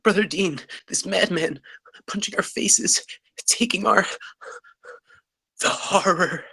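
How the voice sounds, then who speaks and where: Panicky college pledge, read by a man, husky and bright, slow and breathless, table read. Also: high-pitched, no room sound, full character voice. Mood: horrified, panicky. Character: panicky, breathless nineteen-year-old fraternity pledge. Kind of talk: live screenplay table read.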